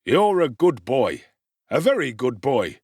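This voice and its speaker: A man doing a smug, harsh old gentleman. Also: mid-range voice, sing-song, measured pace, slightly rough, no room sound. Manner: pompous and condescending. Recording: dry close studio sound.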